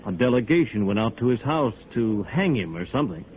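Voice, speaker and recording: An American actor playing a bitter, resigned architect in a 1950s radio noir, terse and sardonic. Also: male voice, on the low side, very animated, brisk, phone-quality sound. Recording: band-limited vintage radio recording.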